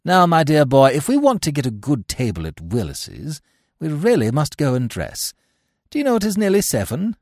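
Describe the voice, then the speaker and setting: A flippant young gentleman, acted by a male reader, teasing and affected. His pitch swoops up and down through each phrase, and the sound is close and dry, as in an audiobook of a comic tale. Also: huge pitch swings, normal speed, dry recording, full character voice. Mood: breezy.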